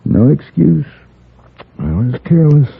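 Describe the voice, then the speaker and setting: An actor playing a cool, wry gunfighter for hire in a 1950s radio Western, speaking in a measured, dry, understated way. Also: male voice, a little high, very animated, gravelly, a little hiss or hum, exaggerated voice. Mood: groggy, rueful. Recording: muffled, thin 1950s radio broadcast.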